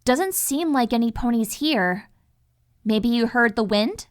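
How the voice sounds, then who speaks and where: Woman as an expressive, protective unicorn mare. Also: fairly high pitch, a little slow. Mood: curious, composed. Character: calm, protective, thoughtful adult unicorn mare. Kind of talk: animated fan fiction audio drama acting.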